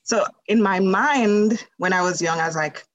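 A woman's voice: medium pitch, normal speed, no room sound, highs cut off. A woman from Jamaica, a holistic nutrition practitioner, telling her story as a podcast guest in a conversational way, with many fillers. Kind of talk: casual interview storytelling.

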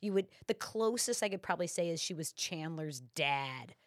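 A middle-aged woman guest on a podcast, a comedian with wry, joking asides, her voice raspy and stuffy from smoky air, recorded in a podcast studio. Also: low-pitched, animated, normal speed, no echo. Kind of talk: casual podcast chat.

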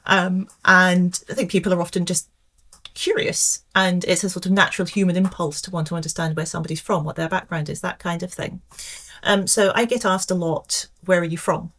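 A woman in her forties with an English accent and a slightly low voice, speaking as a guest on a professional podcast. She answers carefully and reflectively, pausing often with soft hesitations as she works through her thoughts. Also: fairly even intonation. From Scotland. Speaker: pensions actuary, podcast guest.

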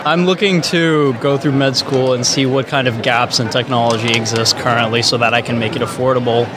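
A young university student, speaking deliberately in a vox pop interview. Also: male voice, mid-range voice, fairly even intonation. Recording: outdoor event with crowd chatter. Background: crowd chatter.

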